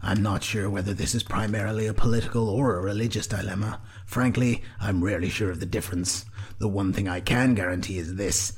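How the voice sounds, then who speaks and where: Man playing a blunt, barking chieftain, husky. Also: on the low side, steady tone, fairly quick. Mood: weary, firm. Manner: barking, curt, hearty. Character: gruff, hearty male chieftain, blunt and patronizing.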